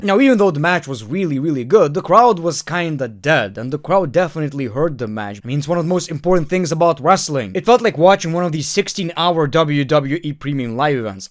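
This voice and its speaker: A man from Lithuania with a Lithuanian accent, giving sarcastic casual commentary full of rhetorical questions. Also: on the high side. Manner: sarcastic, rhetorical questions, keeps calling out "people". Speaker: wrestling commentary YouTuber.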